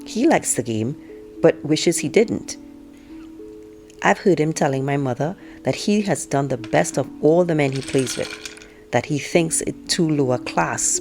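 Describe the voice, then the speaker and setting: A woman reading a novel aloud like an audiobook narrator, expressively telling it as a teenage girl, with drawn-out emphasis. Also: low-pitched, creaky, a little mellow. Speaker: teacher reading aloud to students.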